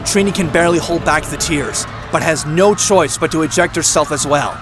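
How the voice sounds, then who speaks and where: Narrator, male: slightly high voice, dramatic action storytelling over very loud music and effects. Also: moderate pace.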